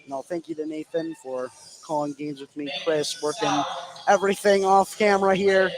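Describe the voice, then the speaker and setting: Higher-voiced man calling live basketball. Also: fairly even intonation, moderate pace, crowd noise, rough recording. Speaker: play-by-play radio sports announcer. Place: indoor basketball gym, live radio broadcast. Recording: clear background noise, live gym ambience.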